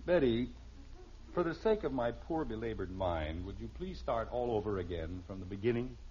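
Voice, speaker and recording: Man playing middle-aged American suburban father, dry sarcasm, clipped questions, swooping pitch, dull vintage radio sound. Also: lively intonation, moderate pace, darkish tone, natural voice. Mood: stern, weary. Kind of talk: 1950s radio sitcom acting. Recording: dull, noisy old radio recording.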